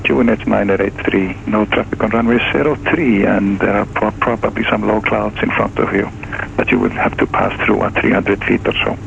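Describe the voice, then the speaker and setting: Very gravelly man on aviation radio. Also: lowish voice, fairly even intonation, moderate pace, few pauses, a bit bright. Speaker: air traffic controller. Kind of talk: aviation radio traffic advisory. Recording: dull, noisy aviation radio link.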